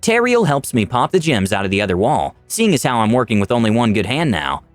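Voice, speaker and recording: A man's voice: mid-range voice, huge pitch swings, brisk, dry recording. A man narrating a scripted fantasy adventure story in the first person, with dramatic delivery. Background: music.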